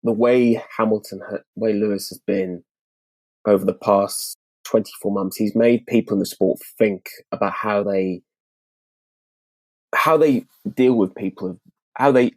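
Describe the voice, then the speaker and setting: Guy guesting on a podcast, earnest, croaky fry in his voice, super dry close sound. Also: on the low side, mellow, no room sound. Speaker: podcast guest, motorsport editor. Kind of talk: casual podcast discussion.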